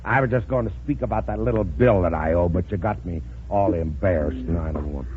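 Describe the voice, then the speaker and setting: An actor playing a blustering, roguish fishing boat captain with a folksy, ungrammatical drawl in 1940s radio comedy style. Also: male voice, low voice, a little fast, natural voice. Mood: defensive. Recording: tinny, noisy old radio recording.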